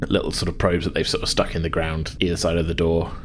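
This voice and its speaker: A man's voice: low-pitched, little pitch movement, fast, a little hiss or hum. A man working as game master, narrating a tabletop sci-fi adventure in a hesitant, conversational, descriptive way.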